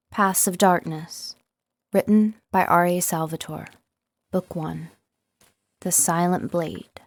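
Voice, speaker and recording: A woman reading scripted story narration as an audiobook narrator, with expressive, dramatic storytelling. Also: fairly low pitch, no room sound. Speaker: audiobook narrator, voice-over artist.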